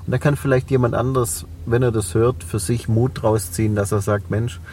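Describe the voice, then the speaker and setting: A man's voice: mid-range voice, steady tone, normal speed, darkish tone, recorded from a distance, a little hiss or hum. A man in his forties with a Swabian German accent, a business owner talking reflectively as an interview guest, with many fillers. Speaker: guest, cleaning company owner. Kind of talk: podcast interview.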